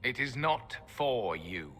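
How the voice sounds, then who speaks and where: A man playing an ancient elf guardian in a video game, solemn, weary and stern, with formal, deliberate delivery. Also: mid-range voice, very animated, a bit bright. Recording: long hall reverb, music underneath.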